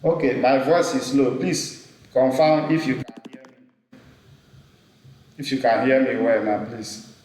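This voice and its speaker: A man teaching a database class on a video call, speaking slowly, repeating himself and pausing to check the chat. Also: mid-range voice, fairly even intonation, darkish tone, very echoey, low-quality recording. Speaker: instructor. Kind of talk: live online class teaching. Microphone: computer mic on a video call.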